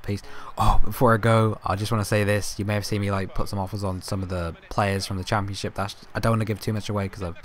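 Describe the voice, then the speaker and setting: A young man with a hoarse, fading voice, chatting casually over his football game footage, rambling with lots of ums and restarts. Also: fairly low pitch, little pitch movement, fast talker, few pauses. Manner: rambling, filler-heavy, self-correcting. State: hoarse, coughing, voice going. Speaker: gaming YouTuber. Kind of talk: casual gameplay commentary.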